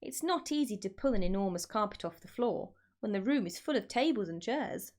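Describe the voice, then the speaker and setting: A woman reading aloud to children as an expressive storyteller, speaking directly to her young listeners. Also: mid-range voice, fairly quick. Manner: addresses child listeners with questions.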